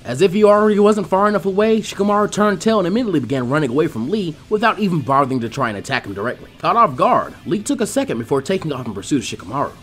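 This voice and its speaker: A man reads a story aloud in an expressive narrator's style. His voice sits fairly high and moves around a lot in pitch, at a brisk clip. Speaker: fan fiction reader.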